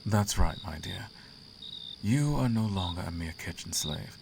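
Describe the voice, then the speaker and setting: Guy doing an imperious flirty demon prince, slow gravelly drawl, crickets chirping behind him. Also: sits low, animated, noisy background, exaggerated voice. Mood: seductive, indulgent. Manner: slow, courtly, teasing. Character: seductive, imperious male demon prince of the Abyss. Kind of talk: flirtatious roleplay ASMR acting.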